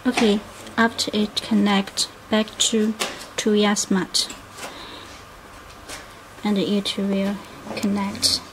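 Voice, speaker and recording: Slow, halting woman giving a product tutorial. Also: mid-range voice, little pitch movement. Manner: halting, fragmented phrasing. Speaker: product demonstrator. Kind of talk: unscripted step-by-step product tutorial. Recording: noisy room with clicks.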